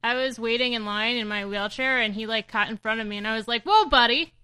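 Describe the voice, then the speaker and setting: A woman co-hosting a casual podcast, blunt and deadpan, swearing freely. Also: a little high, quick pace, smooth, dry recording. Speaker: podcast co-host.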